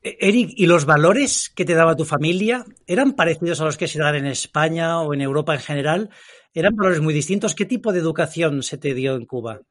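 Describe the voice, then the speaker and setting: A man's voice: on the high side, fairly quick. A man co-hosting an interview, asking friendly questions and often ending them with a checking "¿no?". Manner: friendly, asks questions with "¿no?" tags. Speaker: co-host.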